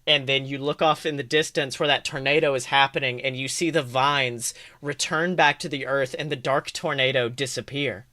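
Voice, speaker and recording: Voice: mid-range voice, steady tone, normal speed, hardly any pauses, pushed and bright, no room sound, clean studio sound. A game master narrating a tabletop adventure in an informal, improvised way, swearing casually. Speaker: game master and podcast host.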